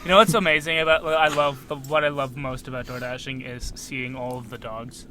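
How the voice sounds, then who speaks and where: A man's voice: mid-range voice, flat delivery, fairly quick, background noise. A young man on a casual podcast, chiming in with short quips and reactions. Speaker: podcast co-host.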